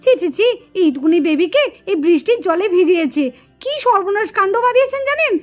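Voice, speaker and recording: Woman as a bossy cartoon lady doctor, very high pitch, scolding, tutting, exasperated, music underneath. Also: animated, brisk. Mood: appalled, scolding. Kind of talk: melodramatic puppet cartoon acting. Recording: music behind the voice.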